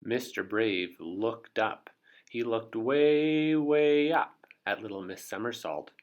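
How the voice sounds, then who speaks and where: A man reading a children's story aloud as an expressive narrator. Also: lowish voice, slow, dull audio. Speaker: storybook reader.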